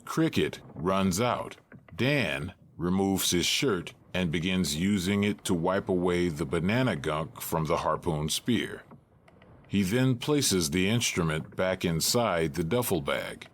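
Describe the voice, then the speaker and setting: A man narrating a scripted audio drama in a measured, deliberate way. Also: sits low, expressive, a little hiss or hum.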